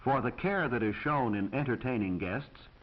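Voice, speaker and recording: A man's voice: medium pitch, lively intonation, moderate pace, lo-fi sound. An American man reading scripted 1940s instructional film narration in a formal, precise, instructive manner on an old film soundtrack.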